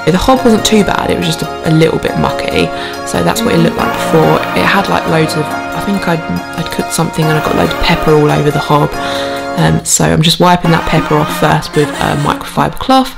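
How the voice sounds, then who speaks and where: Low-pitched British woman, slightly creaky. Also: lively intonation, fairly quick. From United Kingdom. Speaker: home and cleaning vlogger. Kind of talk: casual chatty voice-over narration. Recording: voice-over with music behind. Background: background music.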